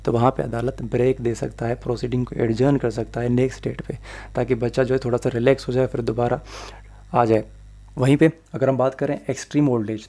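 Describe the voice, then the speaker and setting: Male law tutor, Pakistani Urdu accent, flat, dark, mellow delivery; lecture over slides. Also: medium pitch. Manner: mixes Urdu-inflected Hindi with English legal terms, steady explaining.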